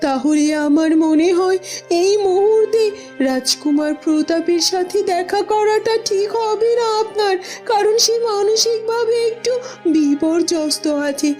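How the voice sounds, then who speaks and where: Cartoon heroine voiced by a woman: young royal bride, extremely high voice, courteous and careful, music behind. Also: unhurried, a little mellow. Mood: polite, concerned. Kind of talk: Bengali cartoon voice acting.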